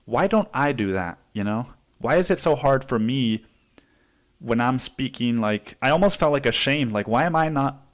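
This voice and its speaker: A young man from Cancun rants through a solo podcast episode. He swears freely and drops "dude" constantly. He jumps up into a higher voice whenever he mimics other people. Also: lively intonation, moderate pace. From Cancun, Mexico. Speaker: podcast host. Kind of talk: casual solo podcast rant.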